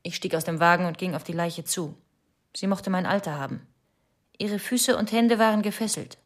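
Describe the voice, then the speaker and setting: A woman's voice: lowish voice, moderate pace, natural voice. Sober, bleak woman witness, acted. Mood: bleak, factual. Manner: sober, report-like. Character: shaken woman secretly tailing a killer, bleakly factual. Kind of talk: first-person radio play narration.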